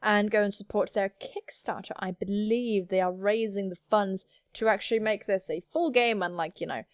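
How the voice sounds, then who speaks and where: A woman's voice: mid-range voice, normal speed, no echo, studio quality. A woman hosting a gaming video, commenting playfully in a cheeky, sweary, theatrical manner. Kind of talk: playful let's-play commentary with read-out game narration.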